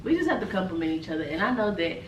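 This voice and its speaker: Woman, mid pitch, candid and firm, echoey distant mic, clear background noise. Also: racing, muffled recording. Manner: relaxed and candid, grows firm when making a point. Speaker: podcast co-host. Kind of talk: casual chat.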